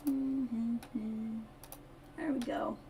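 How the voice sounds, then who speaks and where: A woman's voice: on the high side, steady tone, extremely slow, vocal fry, warm tone. A woman doing casual live-stream game commentary, chatting offhand and muttering asides to herself. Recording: keyboard clatter, faint noise.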